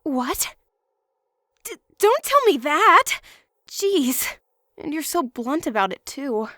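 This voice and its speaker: A woman's voice: high-pitched, sing-song, takes it slowly, sharp tone, no echo, studio quality, full character voice. A woman voicing an earnest, emotional teenage schoolgirl in an anime-style game dub. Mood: flustered.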